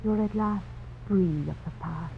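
Actress as devoted sweetheart, vintage radio romance: soft, medium-pitched, very dull recording. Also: female voice, a little slow, a little hiss or hum, lightly acted. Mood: tender. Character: gentle, devoted young Spanish-speaking Texan sweetheart. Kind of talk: 1950s radio drama acting. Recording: very dull old radio, loud music.

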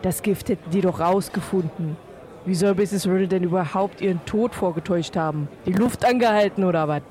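A woman playing a sceptical, no-nonsense pub keeper, brisk and dismissive, in an amateur audio drama. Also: fairly low pitch, dark tone, close to an everyday voice. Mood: exasperated.

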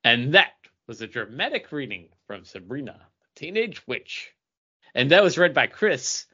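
A man's voice: mid-range voice, very animated, moderate pace, sharp tone, husky, muffled recording. A man co-hosting a podcast, moving between casual chat and mock-grand announcing. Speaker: podcast co-host.

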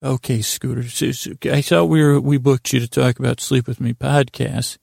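A man playing a skeptical, patient talk-show interviewer with a gravelly voice, asking questions in a politely exasperated way. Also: mid-range voice, fairly even intonation, normal speed, darkish tone, no room sound, studio quality. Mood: puzzled.

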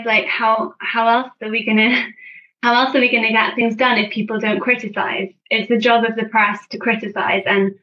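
Slightly muffled, effusive woman chatting candidly. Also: medium pitch. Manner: effusive, candid, informal, frequent "like". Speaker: podcast guest, finance blogger and writer. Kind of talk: casual interview chat.

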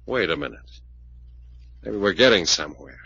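An actor playing an intense, driven investigator in a 1940s radio drama, questioning in an urgent, commanding way. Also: male voice, low voice, expressive, normal speed, gravelly, sharp tone, a little hiss or hum, heavily acted. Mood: urgent, puzzling. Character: intense, driven male investigator puzzling out a case. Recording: muffled old radio broadcast recording.

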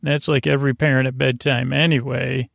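A man hosting a bedtime sleep podcast in a creaky drawl, rambling hesitantly and drifting off on whimsical tangents. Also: mid-range voice, little pitch movement, brisk, a bit bright, dry recording, studio quality. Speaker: podcast host.

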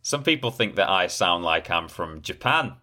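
A man's voice: low-pitched, animated, normal speed, pushed and bright. A man with a Yorkshire accent co-hosting a podcast, chatting in a dry, sarcastic, rambling way. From Yorkshire, England. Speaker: podcast co-host. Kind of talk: casual chat.

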